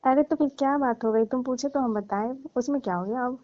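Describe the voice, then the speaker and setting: A woman's voice: high-pitched, a little fast, dry recording. A middle-aged woman chatting casually on the phone in a coy, teasing, playfully scolding way. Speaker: participant in a comic phone call. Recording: dry, dull lo-fi phone-call audio.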